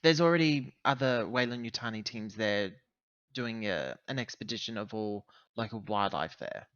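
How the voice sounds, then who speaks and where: A man's voice: lowish voice, moderate pace, a bit bright, no room sound, close to an everyday voice. A man playing an earnest corporate agent briefing his crew in a tabletop roleplay, hesitating as he searches for words, on a lo-fi recording. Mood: hesitant briefing.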